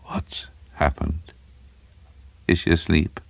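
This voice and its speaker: A small bearded madman, acted out by a male narrator, low and croaky, sighing out his words with a wet bubble in them. He stammers and rambles to himself, sly and conceited, in a macabre story reading that sits dry and close. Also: flat delivery, takes it slowly, no echo, exaggerated voice. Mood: hushed, keen. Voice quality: low, breathless, sighing, bubbling speech impediment.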